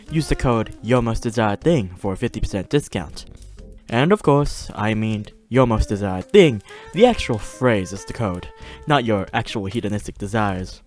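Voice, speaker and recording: A man's voice: mid-range voice, close to an everyday voice. A man playing a flirty, teasing demon who reports and hosts in a fiction podcast. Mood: sultry, playful. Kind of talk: fiction podcast banter and monologue.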